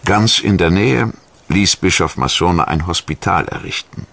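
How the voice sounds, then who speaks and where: A man reading scripted documentary narration in a measured, formal manner, as a studio voice-over. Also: low voice, vocal fry, fairly bright tone, no room sound. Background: music.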